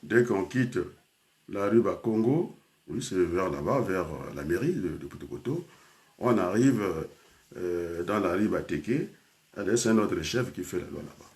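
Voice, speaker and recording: A man's voice: low voice, lively intonation, measured pace, a little mellow. An older man from Congo, a teacher and trainer, speaking in a rambling personal talk full of hesitations and switches into Lingala.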